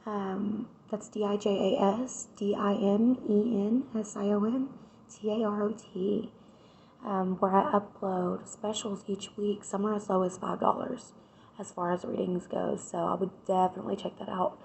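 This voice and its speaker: Hoarse woman reading tarot, gravelly. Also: medium pitch, steady tone, normal speed, darkish tone, a little hiss or hum, rough recording. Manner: chatty, addresses viewers directly with repeated "right?". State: hoarse from a cold. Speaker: tarot reader.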